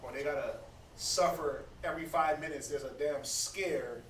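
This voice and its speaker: A man in his thirties gives a laid-back scripture talk, stammering and doubling back on his words. His voice sits a little high for a man. He is recorded from a distance in an echoey room with a faint hiss behind him. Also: normal speed. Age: 30s. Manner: conversational, preachy, stammers and repeats words. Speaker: Bible study speaker. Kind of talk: casual teaching talk.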